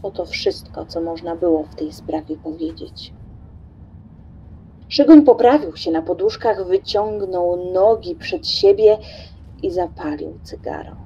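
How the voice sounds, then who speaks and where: Female narrator for radio drama: mellow, husky, medium pitch. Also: expressive, unhurried, dull audio. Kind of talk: scripted radio play narration.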